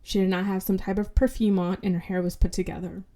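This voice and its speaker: A woman's voice: mid-range voice, little pitch movement, fast talker, mellow. A woman hosting her own podcast, still congested from an illness, talking candidly and bluntly with casual swearing and many fillers. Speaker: podcast host. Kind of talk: casual solo podcast monologue.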